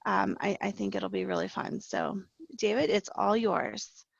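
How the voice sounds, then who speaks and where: Female meeting host, very gravelly voice, hesitant introduction over a dry, dull line. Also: mid-range voice, steady tone, unhurried, no echo. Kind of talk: introducing a speaker. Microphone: video call. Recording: dry, dull call audio.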